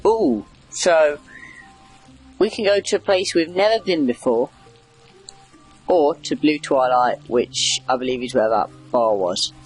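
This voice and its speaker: A man's voice: medium pitch, expressive, measured pace, pauses often, slightly rough, faint music behind, poor audio. A man giving casual, rambling video game commentary, wry and chatty as he reacts aloud. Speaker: gamer doing a video game playthrough.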